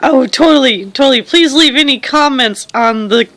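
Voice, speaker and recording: A woman's voice: high-pitched, moderate pace, a bit bright. A woman making casual, playful interjections as a player commenting from the side.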